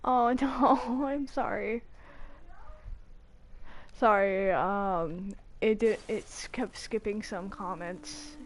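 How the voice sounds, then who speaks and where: A woman's voice: mid-range voice, extremely slow. A woman giving casual live commentary as she streams a video game. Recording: game music behind the voice. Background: video game music.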